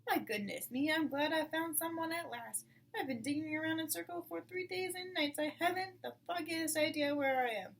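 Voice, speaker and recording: A woman voicing an excitable, despairing male badger in a storybook, exclaiming anxiously. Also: high-pitched, normal speed, hardly any pauses, pitched above the performer's own voice. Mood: relieved, flustered. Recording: distant mic with clear background noise.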